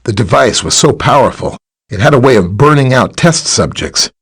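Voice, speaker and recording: A man playing a friendly, helpful scientist superhero in a video game, with a chatty, polite, explanatory way of talking and a slightly husky voice. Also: mid-range voice, lively intonation, moderate pace. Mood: explanatory, earnest. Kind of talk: scripted video game character dialogue.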